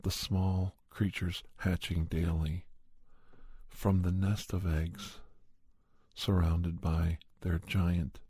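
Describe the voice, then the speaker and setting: A man plays a calm doctor telling an ASMR monster tale in a low, mellow voice. He speaks very slowly and deliberately, with halting, measured phrases. The sound is dry and intimate. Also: husky, no echo, exaggerated voice. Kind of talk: slow ASMR horror storytelling.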